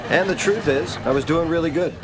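An actor playing a reflective father telling his past in measured, wry sitcom voice-over. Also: fairly high pitch, over music, lightly acted, low-quality recording.

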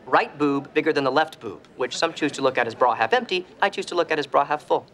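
A man's voice: on the high side, quick pace, noisy background, lightly acted. An actor playing a brash, boastful young American womanizer, speaking in a cocky, emphatic sitcom style. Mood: gleefully cocky. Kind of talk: sitcom acting.

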